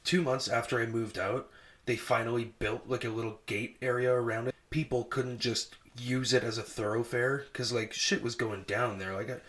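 A man co-hosting a casual movie podcast, laid-back and soft-voiced, filling his talk with "like.". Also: mid-range voice, fairly even intonation, moderate pace. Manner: laid-back, says "like" a lot, self-described soft voice. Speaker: podcast co-host. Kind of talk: casual movie review chat.

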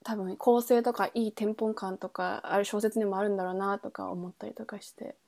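A woman's voice: mid-range voice, steady tone, fairly quick, vocal fry, darkish tone. A woman podcast host in a casual book chat, thinking aloud in trailing, loosely linked phrases. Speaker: podcast host reviewing a novel.

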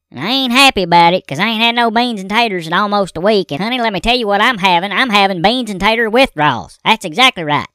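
A rambling Southern redneck husband, put on by a performer, drawls out his gripes in a folksy comic monologue. The voice is bright and smooth. It is recorded close in a dry booth. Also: medium pitch, no room sound, close to an everyday voice. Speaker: recurring comedy character. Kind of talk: comedic character monologue.

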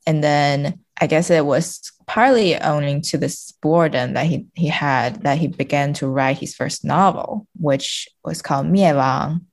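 A woman's voice: sits low, measured pace, few pauses. A woman in her twenties discussing books casually as a podcast guest, talking tentatively and informally as she searches for words. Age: 20s.

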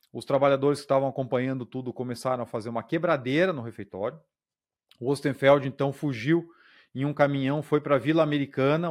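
A man hosting a podcast, telling a history story in a casual, conversational way with frequent tag questions. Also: on the high side. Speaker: podcast host.

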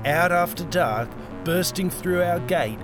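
A man's voice: high voice, lively intonation, unhurried, pitched above the performer's own voice. A man voicing an unhinged young man in his twenties, affected, melodramatic and foppish, in an audiobook over music. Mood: growling menace.

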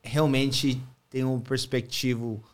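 A man's voice: mid-range voice, little pitch movement, slow. A man hosting a casual chat, laughing easily and asking questions.

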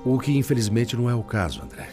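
A man's voice: mid-range voice, sing-song, music in the background, lightly acted. An actor with a Brazilian accent playing a sorrowful male spirit, once a principled lawyer, in formal, eloquent radio-drama style. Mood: bitter, mournful. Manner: formal, eloquent, sorrowful, halting when troubled.

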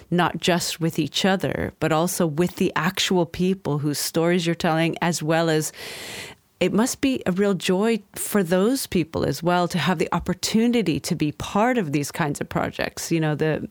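Enthusiastic woman co-host, low and dry. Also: no echo. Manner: enthusiastic, affirming interviewer. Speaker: podcast co-host. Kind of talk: casual podcast conversation.

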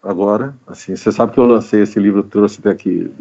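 A man with a mellow, darker voice talks casually as a guest, looping back over his words and leaning on fillers. The sound is a bit dull, as if carried over a video call. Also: lowish voice, steady tone, fast, creaky. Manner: rambling, repeats words with many fillers. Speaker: guest, writer and journalist. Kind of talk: casual interview chat. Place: home during pandemic.

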